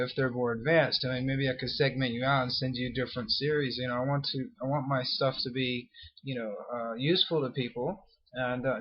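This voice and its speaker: A man's voice: mid-range voice, dull audio. A man in his thirties with a mixed American and Australian accent, chatting casually to his email subscribers in a video blog, rambling and apologetic with many fillers and restarts. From California, United States. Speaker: internet marketer vlogging to subscribers.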